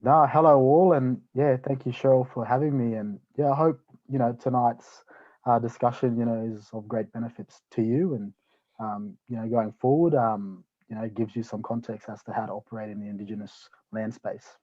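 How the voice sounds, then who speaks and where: A man from North Queensland with an Australian accent, talking as a guest in a live webinar, relaxed and full of "you know" fillers. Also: mid-range voice, moderate pace, dull audio. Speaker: guest, property developer.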